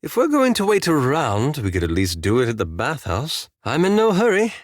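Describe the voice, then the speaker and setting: An actor playing a cautious, scholarly elf wizard in a video game, speaking in precise, formal phrases with dry politeness. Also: male voice, mid-range voice, huge pitch swings, moderate pace, no echo. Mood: mild, patient. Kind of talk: video game character dialogue.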